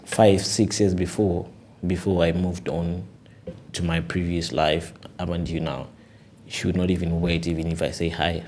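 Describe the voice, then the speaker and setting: A young man with a very low voice talks through his story in a casual podcast conversation. He sounds earnest and animated, and he keeps checking in with "you know" and "you get it". A faint hum of noise sits under his voice. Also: normal speed.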